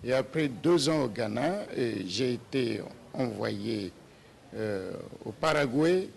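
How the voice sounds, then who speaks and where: A man in his sixties from Nigeria, a papal nuncio, answering interview questions slowly with many hesitations and restarts. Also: medium pitch, animated, creaky, slight background noise. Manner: slow and hesitant with many "euh" fillers and restarts. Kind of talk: slow, halting interview answers.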